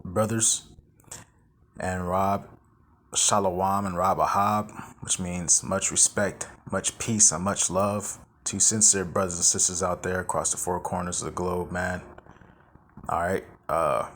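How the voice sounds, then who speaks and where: A man teaching from scripture in a loose, halting way, reading verses slowly and adding casual asides. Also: deep voice, level tone, fairly bright tone, a little hiss or hum. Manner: drawn-out scripture reading with filler asides like "all right" and "man"; halting, repeats words while searching for them. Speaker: Bible teacher.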